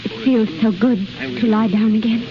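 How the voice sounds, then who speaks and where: A woman's voice: fairly high pitch, steady tone, moderate pace, over music, close to an everyday voice. An actress in a 1930s radio drama playing a fragile, self-sacrificing young woman, speaking in an earnest, plaintive, melodramatic way. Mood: resigned. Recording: dull, hissy 1930s radio recording.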